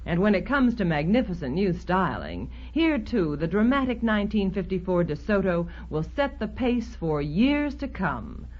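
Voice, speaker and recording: An American woman reading a scripted 1950s radio commercial as an announcer. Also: mid-range voice. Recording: dull, band-limited 1950s radio recording.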